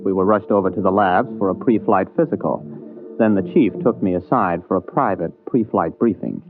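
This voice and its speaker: A man's voice: deep voice, brisk, over music, close to an everyday voice. An actor playing a plain-spoken, wry rocket pilot captain, a somewhat flustered everyman hero in a 1950s radio drama. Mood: wry narration. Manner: hesitant, casual, wry. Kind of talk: 1950s radio drama acting with first-person narration. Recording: muffled, narrow 1950s radio broadcast.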